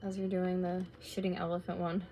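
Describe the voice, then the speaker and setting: A woman in her late twenties, slightly raspy from talking, chatting casually and rambling while she crafts at home on a live stream. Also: lowish voice, monotone, brisk, warm tone, slight background noise. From North America. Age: late 20s. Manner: rambling, chatty, laid-back with filler words.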